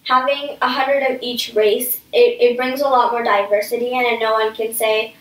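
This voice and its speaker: Higher-voiced woman guest in an echoey room. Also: fairly even intonation, moderate pace. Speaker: interview guest. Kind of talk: explanatory answers in a staged interview. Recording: echoey room, distant microphone.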